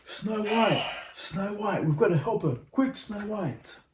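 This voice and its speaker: Excitable dwarf, acted by a man for a storybook: high, urgent, alarmed, roomy sound. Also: slow, distant mic. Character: excitable male storybook dwarfs rushing to help. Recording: roomy home recording, faint noise.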